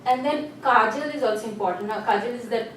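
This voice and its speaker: Animated woman instructor, very echoey room. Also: fairly high pitch, a little fast, recorded from a distance, a little hiss or hum. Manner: animated, explanatory, uses you know often. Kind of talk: demonstrating and explaining in class.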